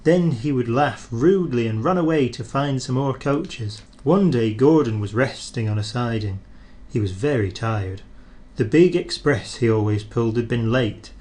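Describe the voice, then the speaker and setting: A man reading a children's train story aloud in an expressive, animated storyteller's manner. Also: mid-range voice, normal speed.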